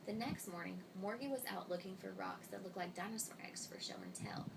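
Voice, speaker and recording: Female storybook reader, expressive, gravelly fry, recorded at a distance through heavy noise. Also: mid-range voice, brisk. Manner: expressive, voices the dialogue of characters. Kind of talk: children's story read-aloud. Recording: distant mic, loud noise, clicks.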